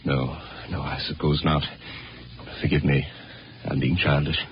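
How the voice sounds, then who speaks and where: An actor playing a jealous, controlling Martian husband in 1950s radio drama, curt and probing. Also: male voice, a bass voice, level tone, unhurried. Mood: subdued, brooding. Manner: probing, curt, bad-tempered. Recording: noisy, dull 1950s radio recording.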